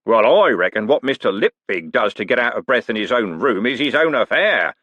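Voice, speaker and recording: A man voicing a rough-spoken working-class coachman who gives orders bluntly and is mock-polite to his betters. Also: medium pitch, lively intonation, a little fast, pushed and bright, no echo, highs cut off. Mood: scornful.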